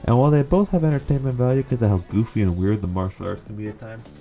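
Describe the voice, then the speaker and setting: A man's voice: medium pitch, fast talker, muffled recording, soft background music. A man narrating a scripted video essay, giving opinionated commentary with a sarcastic edge.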